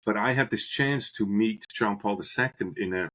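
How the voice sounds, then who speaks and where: A man's voice: lowish voice, normal speed, a bit bright. A middle-aged man from Switzerland, a guest on a podcast, telling his story earnestly and often restarting phrases as he searches for words. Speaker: guest, business professor. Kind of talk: podcast interview, reflective storytelling.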